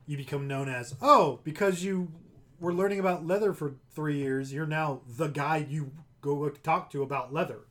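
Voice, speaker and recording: A man's voice: fairly high pitch, normal speed, fairly bright tone. A man co-hosting a casual chat, laughing easily, prompting his co-host and filling in explanations.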